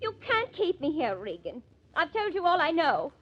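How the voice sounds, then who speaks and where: A woman playing a sharp-tempered, defensive actress suspected of murder in a 1950s radio mystery, dramatic and emphatic. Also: very high voice, big pitch swings, normal speed. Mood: defiant. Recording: muffled lo-fi old radio recording.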